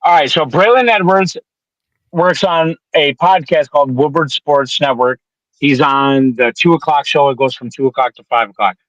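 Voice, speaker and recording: Twenties male podcast co-host, fast and profane, pitched a little high, on a muffled lo-fi dry mic. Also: no echo. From Detroit area. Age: 20s. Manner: animated, profane, pitching advice. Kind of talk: casual podcast chat. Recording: dull lo-fi sound.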